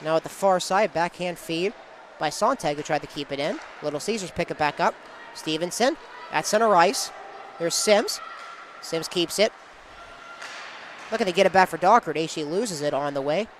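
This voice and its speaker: A high-pitched male sportscaster delivers live play-by-play in short, clipped phrases. He follows the action moment by moment, with some venue noise behind his voice. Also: normal speed, pauses often.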